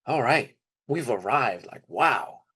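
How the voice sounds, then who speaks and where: A man's voice: mid-range voice, expressive, slow pace, a bit bright, husky. A man telling personal stories in a casual, conversational way, candid and openly emotional. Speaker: trip leader for student service trips.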